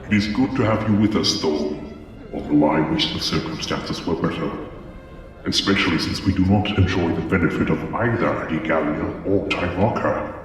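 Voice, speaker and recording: Guy doing a super deep solemn jedi leader, patient, heavy cave echo. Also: moderate pace, lightly acted. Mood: solemn, kindly. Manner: solemn, formal. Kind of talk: dramatic acting in comic dub. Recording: big echoing hall, music behind.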